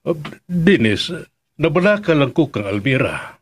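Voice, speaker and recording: A husky, very lively voice with a Filipino accent: a middle-aged father in a radio drama. He is concerned and probing, hesitating between questions to his grown son. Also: medium pitch, a little slow. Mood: worried, hesitant.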